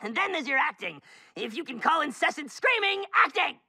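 High, gravelly, pompous cartoon villain. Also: male voice, very animated, fairly quick, bright, forceful tone, dry recording, clean studio sound, full character voice. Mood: bitter, exasperated. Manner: theatrical, scornful, ranting. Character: pompous, bitter male couch-potato villain. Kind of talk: animated cartoon acting.

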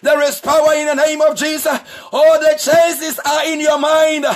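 A man preaching a sermon as pastor, shouting with a repetitive, sing-song cadence. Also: very high voice, level tone, moderate pace. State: married. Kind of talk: impassioned preaching.

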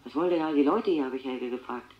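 A woman's voice: rumbling low, quick pace, highs cut off. An actress in a radio play voicing a troubled mother who recounts things about her son and quotes others as she goes. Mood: troubled recounting. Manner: animated, quoting others as she tells. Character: mother recounting her clairvoyant son's disturbing gift. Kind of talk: radio play acting, interview-like storytelling.

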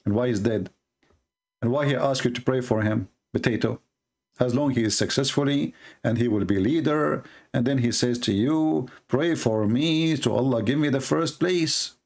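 A man's voice: medium pitch, moderate pace, gravelly, a little mellow. A man whose first language is Arabic, hosting a heated debate and reading from texts on screen in a mocking, combative way. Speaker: debate host. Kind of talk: screen-share debate commentary.

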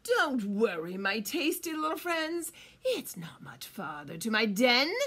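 A woman voicing a sly male fox villain in a storybook, falsely friendly, with wheedling, drawn-out lines. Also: a little high, sing-song, a bit bright, slightly rough, full character voice. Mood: falsely soothing.

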